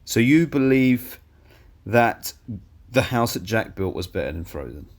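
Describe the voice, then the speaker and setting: A young man co-hosting a casual film review podcast, blunt and teasing, swearing freely and a little hoarse. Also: medium pitch, sing-song, a little slow. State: losing his voice. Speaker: podcast co-host.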